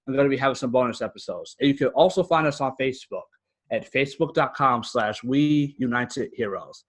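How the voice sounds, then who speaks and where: Male podcast guest, American accent, mid-pitched voice, informal and earnest. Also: fairly quick, fairly bright tone. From United States. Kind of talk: casual interview talk.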